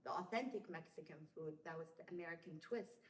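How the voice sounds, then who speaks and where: Relaxed young woman guest, low, roomy echo. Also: fast talker, a little hiss or hum. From Somalia, raised in Saudi Arabia. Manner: relaxed storytelling, many fillers and likes. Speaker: podcast guest. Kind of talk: casual interview chat. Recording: roomy echoey room.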